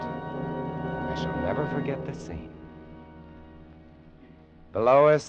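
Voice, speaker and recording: Radio-play adventurer-narrator, low-leaning voice, intense and deliberate, muffled vintage broadcast. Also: male voice. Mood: hushed, tense. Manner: dramatic, deliberate storytelling. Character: adventurer narrating a grim jungle and mountain expedition. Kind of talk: 1940s radio drama narration. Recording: dull old radio recording, music behind.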